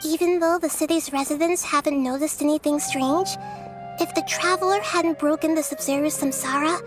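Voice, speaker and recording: A woman voicing a small, gentle, wise young girl deity in a video game, speaking in measured, thoughtful, formal phrases. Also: high voice, fairly even intonation, over music, close to an everyday voice. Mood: thoughtful, serious. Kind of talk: scripted video game character dialogue.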